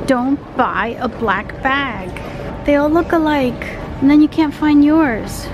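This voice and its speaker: A woman's voice: high voice, takes it slowly, dull audio, music in the background. A woman in her forties walking through an airport and train station, chatting to her camera held at arm's length and pointing out directions. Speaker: travel vlogger. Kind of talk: casual walking travel vlog. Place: busy airport terminal and train station, indoors. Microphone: handheld camera at arm's length. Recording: loud background station noise. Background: loud station and train noise.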